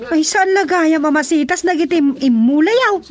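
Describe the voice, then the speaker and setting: A woman's voice: extremely high pitch, normal speed. A woman playing a curious, easily impressed village visitor with an Ilocano accent in a radio comedy drama.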